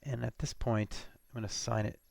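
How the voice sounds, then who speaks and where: A man presenting a software demo as an informal screencast walkthrough, talking casually and haltingly as he thinks aloud. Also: mid-range voice, fairly even intonation, gravelly.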